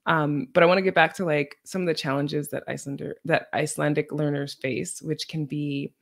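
Chatty host woman, gravelly edges. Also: deep voice, steady tone, brisk. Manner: chatty, thinking aloud with many "like" and "you know". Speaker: podcast host. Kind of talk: casual interview over video call. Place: home room, indoors. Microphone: video call, computer mic.

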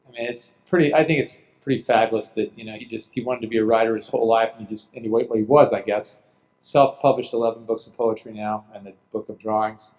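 A man's voice: fairly low pitch, fairly even intonation, quick pace, a little mellow. Middle-aged man, keynote speaker, casual rambling delivery, podium mic, large hall. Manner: casual, rambling with many fillers. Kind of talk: conference keynote talk. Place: dark stage in a large hall. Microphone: podium microphone.

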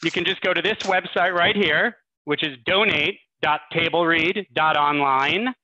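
A man's voice: on the high side, moderate pace, bright, forceful tone, no room sound. A man hosting an online charity event, making announcements to the audience over a video call. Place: indoor room, on a group video call. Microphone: video-call microphone. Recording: dull, muffled video-call audio.

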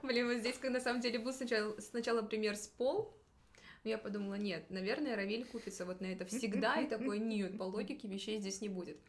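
A woman's voice: medium pitch, quick pace. A woman in her twenties teaching in a casual, chatty and encouraging way, as a language tutor explaining rules in conversation. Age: 20s. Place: small indoor studio.

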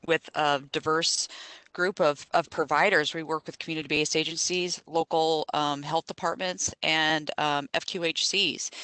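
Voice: mid-range voice, pushed and bright, clean tone, no echo, rough recording. A vaccine program coordinator speaking on a video call panel, earnest with a few stumbles.

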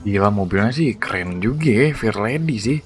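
A man's voice: fairly low pitch, lively intonation, moderate pace, soft background music. A man chatting casually over gameplay, slangy and exclamatory, often repeating words. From Indonesia. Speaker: gaming YouTuber. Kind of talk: casual excited gameplay chat.

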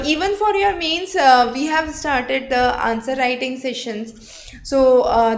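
Young Indian English woman, exam coach, higher pitch, live lecture, roomy echo. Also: a little hiss or hum. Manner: explanatory, frequent "uh" fillers, talks with hands. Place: studio, seated before a screen backdrop.

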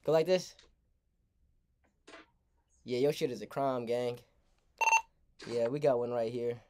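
A man's voice: mid-range voice, slow pace, very noisy. A young American man playfully trolling strangers on video chat, often talking like a mock police dispatcher. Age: young adult. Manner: mock-official police dispatcher talk, exclaiming. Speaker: streamer, prank host.

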